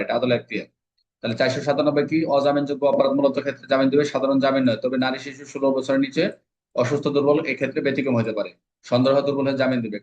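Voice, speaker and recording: A man's voice: mid-range voice, a little mellow. A man with a Bangladeshi accent lecturing informally as an exam coach, repeating points, asking and answering his own questions and mixing in English legal terms. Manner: repetitive, drilling with rhetorical questions he answers himself. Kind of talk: informal lecture explaining legal code sections. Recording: dull, lo-fi audio.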